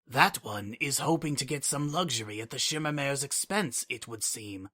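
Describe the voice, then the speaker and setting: A scheming changeling who trades in secrets, voiced by a man in a dramatic reading. It speaks in a dry, formal, measured way and calls itself "this one". The tone is bright and clear. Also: medium pitch, no room sound. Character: calculating adult changeling information broker, dry.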